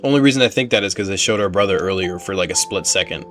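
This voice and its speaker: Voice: deep voice, fast, fairly bright tone, soft background music. A video game streamer reacting in casual, slangy, conversational commentary.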